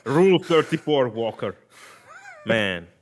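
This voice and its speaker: A man whose first language is Italian, chatting casually as a podcast host, excitable, laughing often and trailing off mid-sentence. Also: mid-range voice, slow. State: sleep deprived. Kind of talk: casual chat.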